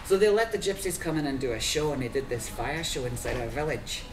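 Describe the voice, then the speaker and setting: A man's voice: on the high side, expressive, fast. A man from Scotland, a fire performer, talking casually and animatedly with lots of fillers in an interview. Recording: echoey room from far off with crowd noise.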